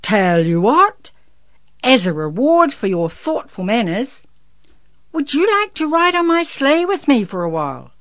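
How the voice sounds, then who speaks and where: A woman plays a big, jolly old Santa from a children's storybook. The voice booms out heartily and kindly, taking its time over the words, close and dry. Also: very animated, a little slow, dry recording. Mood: generous. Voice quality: big, booming.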